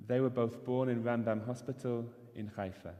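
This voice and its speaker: A man giving a measured, earnest sermon as a rabbi in a synagogue. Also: mid-range voice, little pitch movement, warm tone. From not Israel. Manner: measured, earnest preaching cadence. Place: synagogue sanctuary. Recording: long hall reverb.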